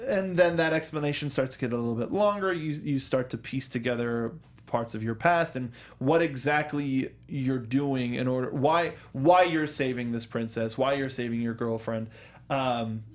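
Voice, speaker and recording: A man with a mid-pitched voice hosts a relaxed entertainment discussion. He talks conversationally, wandering into asides and acting out imagined lines as he explains his point. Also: moderate pace. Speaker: talk show host. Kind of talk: casual film and video game discussion.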